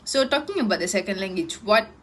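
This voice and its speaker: A woman's voice: mid-range voice, lively intonation, quick pace, fairly bright tone, slight background noise. A young woman whose first language is Tamil, explaining a topic in a student presentation with a few fillers. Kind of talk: class presentation.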